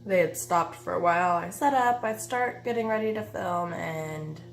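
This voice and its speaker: A woman's voice: on the low side, normal speed. A woman in her thirties with a nasal, stuffy voice from a cold, chatting through a makeup tutorial at home with many ums and uhs. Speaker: beauty vlogger. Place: bedroom by a window, indoors. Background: faint music and weed eater noise.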